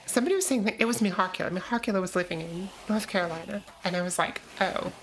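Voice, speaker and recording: An American woman chatting casually on a gaming livestream, answering chat with frequent pauses and ums. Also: medium pitch, a bit bright, a little hiss or hum, low-quality recording. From United States. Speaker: streamer.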